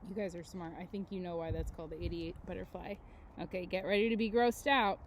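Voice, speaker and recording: A woman teacher reading aloud to children, with expressive delivery and casual, chatty asides that put questions to her listeners. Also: lowish voice, fast talker, background noise. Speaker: elementary school teacher.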